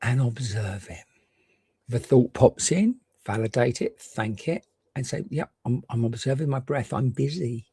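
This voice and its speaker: A man's voice: medium pitch, breathy edge, no echo, clean studio sound. Soothing man guiding a meditation. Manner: gentle, reassuring, unhurried with pauses. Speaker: meditation guide. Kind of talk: guided meditation.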